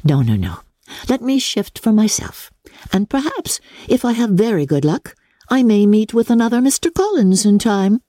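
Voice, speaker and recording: A woman voicing a wry, affectionate, playful young Regency gentlewoman with a teasing, witty manner in an audiobook. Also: mid-range voice, big pitch swings, normal speed, dry recording, pitched above the performer's own voice.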